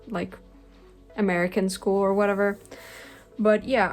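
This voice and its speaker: A young woman whose native language is Spanish, talking earnestly to the camera from her bedroom, with frequent "like" and "um" fillers. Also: fairly low pitch. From Spanish-speaking country. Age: young adult. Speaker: language-learning vlogger. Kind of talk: casual talking-head vlog. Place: bedroom, indoors. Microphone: webcam-style camera close in front.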